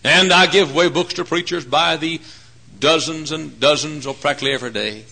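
A man in his forties preaching a folksy, humorous sermon, swinging between hushed asides and loud emphatic points. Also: on the high side, a little fast, vocal fry. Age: 40s. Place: church.

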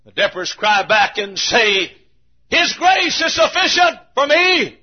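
An American man preaching a fervent revival sermon in a raspy, straining voice, impassioned and often shouting in a repetitive cadence. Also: sing-song, takes it slowly. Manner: impassioned, shouting, repetitive cadence, dramatic swings from low to loud. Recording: dull, muffled lo-fi sermon recording.

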